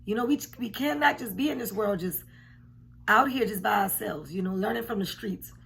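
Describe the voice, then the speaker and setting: A woman in her forties, a bit hoarse, giving frank, emphatic commentary from her home, repeating points for weight. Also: medium pitch, normal speed, fairly bright tone. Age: 40s. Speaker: commentary vlogger. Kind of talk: casual reaction commentary. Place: home room indoors. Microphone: camera at desk distance.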